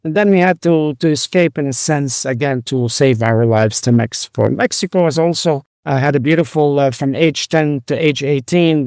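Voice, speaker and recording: 60s guy from lebanon, rabbi and doctor, lots of uhs, smooth clear voice, dry close sound. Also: medium pitch, darkish tone, no room sound. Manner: halting, many "uh" fillers and restarts. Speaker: guest, rabbi and physician. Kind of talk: conversational interview storytelling.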